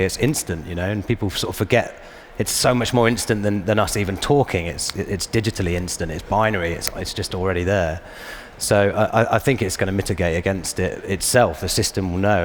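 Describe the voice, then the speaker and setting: Low-voiced man in a noisy hall. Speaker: panelist. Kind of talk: conversational panel discussion. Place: large hall, panel stage. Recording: echoey hall, faint background noise.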